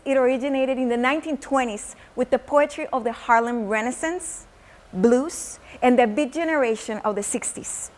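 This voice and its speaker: Casting: Mexican-accented woman, high voice, spoken word artist and teacher. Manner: slow, measured poetic delivery with Spanish words.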